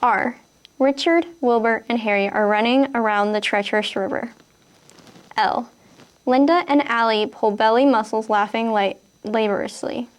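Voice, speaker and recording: Young woman, Wisconsin accent, slightly high voice, unsure and filler-heavy interviewee. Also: normal speed. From Kenosha, Wisconsin. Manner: hesitant, many fillers and nervous laughs. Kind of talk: reading word list, then casual interview answers.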